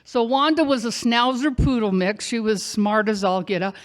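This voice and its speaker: An older woman telling a personal story live on a theater stage, chatty and animated, calling out loudly when she acts out scenes. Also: on the high side, normal speed.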